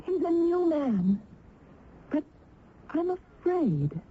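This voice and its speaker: An actress playing a tender, troubled young village niece in a radio drama, earnest and emotional. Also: female voice, very high-pitched, very animated, very slow, exaggerated voice. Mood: happy, wistful. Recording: dull old radio recording.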